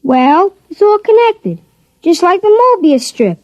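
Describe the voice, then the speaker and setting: A woman's voice: very high voice, very animated, measured pace, darkish tone, no echo, muffled recording, heavily acted. A woman voicing an earnest six-year-old boy who patiently explains things, in a 1950s radio drama.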